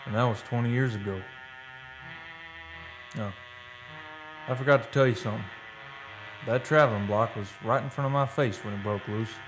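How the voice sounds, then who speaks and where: A man acts out a rattled, defensive oil-rig hand in a radio play. He tells his grim, eerie story in plain, folksy working-man talk. His voice sits at a middle pitch, with music clearly playing behind it. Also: moderate pace, pauses often, lightly acted, poor audio. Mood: haunted, grim. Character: shaken oil-rig worker recounting eerie deaths, defensive under questioning. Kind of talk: radio drama first-person narration.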